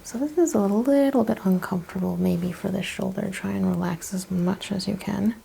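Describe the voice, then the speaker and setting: A woman speaking in a soft whispered ASMR style as a chiropractor doing an exam, calmly explaining and reassuring. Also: mid-range voice, moderate pace, gravelly, slight background noise. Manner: calm, reassuring, step-by-step explaining. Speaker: ASMR chiropractor role-player. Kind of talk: soft-spoken, whispered ASMR clinical exam role-play.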